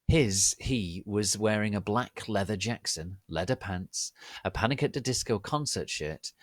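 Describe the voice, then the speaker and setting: Slow, theatrical man reading aloud. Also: sits low, no echo, studio quality. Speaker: reader of online fan fiction. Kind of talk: dramatic reading.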